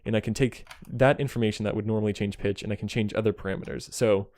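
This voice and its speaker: Casting: man with a lowish, close dry voice, an enthusiastic hobby synthesist chatting informally and apologizing as he rambles. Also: steady tone, fast, a little mellow, dry recording. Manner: self-deprecating, apologetic, chuckles often. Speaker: amateur synthesist demonstrating gear. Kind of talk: casual rambling demonstration chat to a friend. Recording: music behind, occasionally overloaded mic. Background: synthesizer tones.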